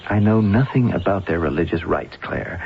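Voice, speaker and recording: A protective, evasive man, speaking low and gravelly in a reassuring but guarded way. It sounds like old-time radio drama, dull and slightly noisy. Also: moderate pace. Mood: hesitant, grave. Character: evasive, protective man calming a worried darling.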